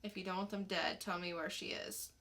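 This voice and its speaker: A woman's voice: on the low side, steady tone, fairly quick, creaky, bright, forceful tone. A woman co-hosting a podcast, giving a casual recap in a plain-spoken, informal way. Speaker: podcast co-host reviewing comics. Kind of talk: casual plot recap and review.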